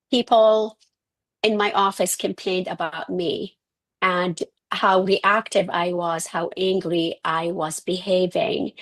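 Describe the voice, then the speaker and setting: A woman's voice: medium pitch, poor audio. Arabic-accented woman telling her story. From Syria. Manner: earnest, with frequent restarts and self-corrections. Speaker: guest, physician. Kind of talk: personal storytelling interview.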